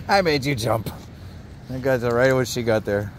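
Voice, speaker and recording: A man's voice: mid-range voice, slow, slight background noise. A man, a dog trainer, talking playfully to his dogs on a beach at night, recorded on a handheld camera. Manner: coaxing, playful talk to dogs. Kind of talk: casual talk to his dogs.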